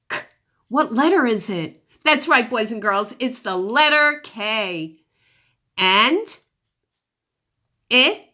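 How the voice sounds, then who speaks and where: A woman's voice: fairly high pitch, bright, forceful tone. A woman teacher giving a phonics lesson to young children, encouraging them with praise and questions and sounding out words with exaggerated articulation.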